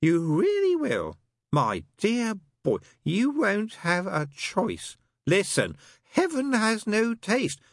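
Sly tipsy demon, slow and wheedling, pitch leaping up and down, breathy and dry, voiced by a man. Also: huge pitch swings, dry recording, exaggerated voice. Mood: insistent. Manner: wheedling, insistent, drawn-out.

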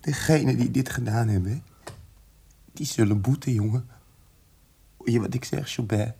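A man's voice: medium pitch, normal speed, creaky, dark tone, breathy edge. A man acting in a radio drama as a defiant, distressed activist prisoner, speaking in a terse, guarded, emotional way. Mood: shocked, vengeful.

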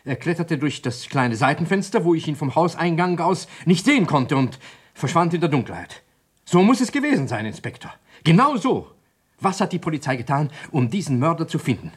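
Agitated, defensive doctor, widely swinging pitch. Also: male voice, big pitch swings, slightly rough. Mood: agitated, indignant. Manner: agitated, pleading, halting under questioning. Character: agitated, defensive doctor under police suspicion. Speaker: actor in a radio drama. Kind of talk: German radio play acting.

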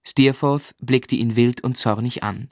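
An actor as a measured, reflective male narrator. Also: medium pitch, steady tone, dry recording, studio quality, close to an everyday voice. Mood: reflective, fond. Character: grown man fondly recalling his schooldays. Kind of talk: literary first-person radio drama narration.

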